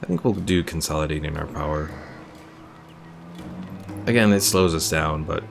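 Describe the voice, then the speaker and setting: A man's voice: rumbling low, moderate pace, gravelly. A man giving casual live gameplay commentary and chatting with viewers, thinking aloud as he goes. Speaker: game streamer playing a strategy game. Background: game music.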